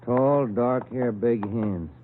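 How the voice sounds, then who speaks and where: Casting: male actor as steady police partner, middle-register voice, terse questions, faintly noisy vintage radio drama. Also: medium pitch, slow pace, narrow, tinny audio, natural voice. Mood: businesslike. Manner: short, plain questions and remarks. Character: easygoing, businesslike male police detective partner. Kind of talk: old-time radio crime drama acting. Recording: thin old radio recording, faint noise.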